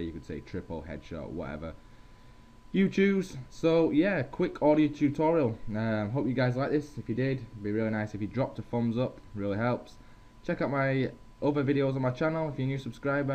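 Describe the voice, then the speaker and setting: A young man giving a casual software tutorial as host, on a headset mic. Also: medium pitch, lively intonation, brisk. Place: at a computer. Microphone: gaming headset. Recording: dull headset mic with faint hiss.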